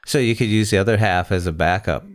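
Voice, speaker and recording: Low-voiced man hosting casually. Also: fast. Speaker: podcast host. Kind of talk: casual chat.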